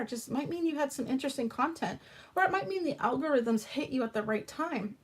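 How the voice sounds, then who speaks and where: A woman with a high voice talks to her livestream viewers in a loose, frank ramble, often restarting her sentences. Her voice is hoarse and a little raspy from allergies. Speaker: tarot channel host. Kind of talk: casual livestream chat.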